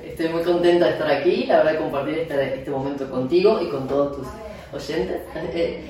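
A woman's voice: deep voice, normal speed, warm tone. A woman with an Argentine accent, a neurologist explaining her work in an interview with frequent fillers and restarts. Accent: Argentine Spanish. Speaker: neurologist guest. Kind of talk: interview answers. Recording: echoey room, distant mic.

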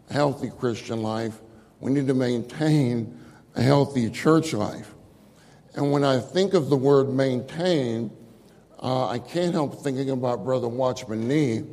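Slow, mellow-voiced male preacher. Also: medium pitch. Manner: loud voice; repeats key phrases for emphasis. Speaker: speaker at a church conference. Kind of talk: sermon. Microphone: microphone. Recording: long hall reverb.

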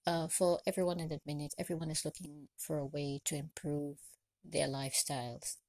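A woman from Trinidad and Tobago hosts a solo podcast, sharing personal experience and encouragement with deliberate, repeated phrasing. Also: low-pitched, fairly even intonation, mellow, no room sound. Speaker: podcast host, chef and caterer. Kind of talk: solo podcast talk, motivational personal story.

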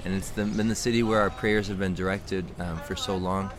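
A man's voice: low-pitched, fairly even intonation, fairly quick, crowd noise. A man from the American Midwest, speaking as a guest in a reflective, spiritual, rambling way during a casual outdoor talk. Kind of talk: casual conversation. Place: outdoors in a city. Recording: noisy outdoor street recording.

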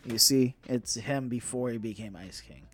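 A man's voice: medium pitch, normal speed, a little hiss or hum. Male co-host, mid-range voice, casual nerdy news chat, emphatic and repetitive. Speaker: podcast co-host.

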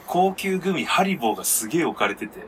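A man's voice: a little high, very animated, quick pace, a crowd in the background. A man co-hosting a podcast in casual chat, tossing in playful quips and dry retorts. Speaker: podcast co-host. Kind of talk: casual chat among friends.

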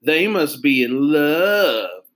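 A man's voice: a little high, big pitch swings, very slow, fairly bright tone, heavily acted. A man doing a sing-song teasing schoolboy.

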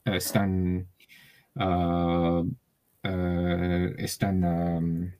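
A man from Taiwan with a Taiwanese accent, a guest speaking Spanish as a learner, halting with many fillers and restarts. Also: low voice, monotone, darkish tone. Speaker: interview guest. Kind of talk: casual conversation.

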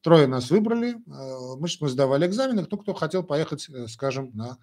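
Chatty older doctor, higher voice. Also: male voice, sing-song, brisk, darkish tone. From Armenia. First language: Armenian. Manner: blunt, talks in short emphatic phrases with many fillers. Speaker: doctor answering viewer questions. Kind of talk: live-stream Q&A, frank and chatty.

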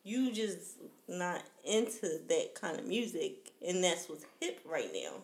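A woman's voice: lowish voice, expressive, a little slow. Echoey woman in casual, slangy banter. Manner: slangy, playful bantering comebacks. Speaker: podcast co-host. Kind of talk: casual chat. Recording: roomy, echoing sound.

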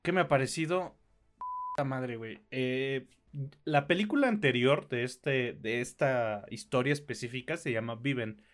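A man's voice: mid-range voice, normal speed. A Mexican man co-hosting a podcast, reviewing films in casual, slangy talk with some swearing. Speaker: podcast co-host. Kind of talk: casual film review chat.

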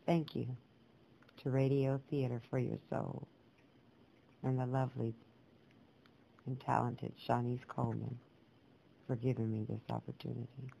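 A woman spoken word poet and storyteller addressing listeners deliberately, in short phrases with pauses between them. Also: extremely low pitch, fairly even intonation, creaky, mellow, a little hiss or hum, rough recording. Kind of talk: spoken word performance with personal introduction.

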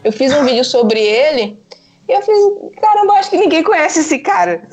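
Woman, Northeastern Brazilian accent, slightly high voice, podcast host, chatty, enthusiastic, music behind. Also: sing-song, moderate pace, darkish tone. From Pernambuco, Northeast Brazil. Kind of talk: casual music discussion.

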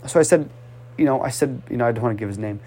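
A man's voice: mid-range voice, rapid-fire. A male speech coach talks through his methods in a loose, conversational way. He repeats himself for emphasis and sighs between phrases. His voice jumps up when he urges the listener on. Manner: animated, repetitive, urging, with audible sighs. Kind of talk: casual coaching talk.

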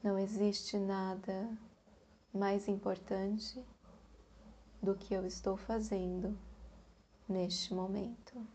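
Brazilian lady doing guided meditation, super slow and calm, dark mellow breathy voice. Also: medium pitch, a little hiss or hum. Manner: slow, soft, calm, with long pauses.

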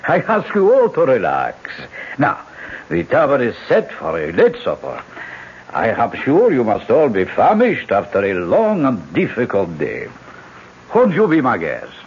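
An actor playing a falsely courteous, menacing dictator with a husky voice and gracious manner in old-time radio style. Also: male voice, medium pitch, wildly swooping pitch, a little slow, exaggerated voice. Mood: silky, mock-polite. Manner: smooth-mannered, mock-gracious. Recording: thin, phone-like old radio recording.

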